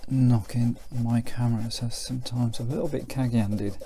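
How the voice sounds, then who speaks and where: A middle-aged British man giving a casual, unhurried hands-on commentary as he demonstrates something, thinking aloud as he goes. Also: mid-range voice, steady tone, creaky, warm tone. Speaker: presenter demonstrating a vintage radio. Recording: faint background noise, clicks of handling.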